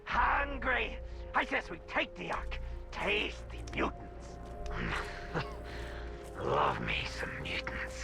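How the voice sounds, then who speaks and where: A man voicing a hungry, bloodthirsty mutant ghoul in a video game, with shrill, creaky tones and broken grammar. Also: wildly swooping pitch, over music, full character voice. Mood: gleeful hunger. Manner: broken grammar, drawn-out repeated cravings. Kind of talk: video game character acting.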